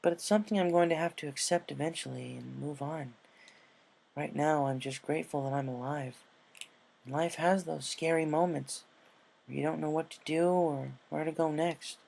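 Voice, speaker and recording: A man plays a young storybook pegasus mare with a slightly raised, creaky voice. She is brave and tender, a soft-spoken, earnest big-sister mentor. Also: on the high side, fairly even intonation, normal speed, pitched above the performer's own voice. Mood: soft, candid.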